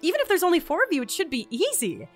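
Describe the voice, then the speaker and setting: A woman's voice: very high-pitched, wildly swooping pitch, rapid-fire, faint music behind, heavily acted. A woman playing a wry, eager young outsider in a fantasy audio drama, sarcastic and casually modern in phrasing.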